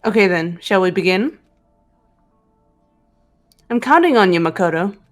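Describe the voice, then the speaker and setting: A woman voicing a cool, determined teenage detective girl in a visual novel game, measured and reserved. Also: medium pitch, lively intonation, faint music behind, lightly acted. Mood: quiet resolve.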